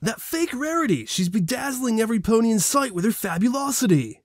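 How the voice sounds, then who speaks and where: A man's voice: very high voice, sing-song, brisk, no room sound, studio quality, voice pushed up, full character voice. A man voicing an earnest, urgent pony guard captain with an authoritative manner in a storybook reading. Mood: urgent, exasperated.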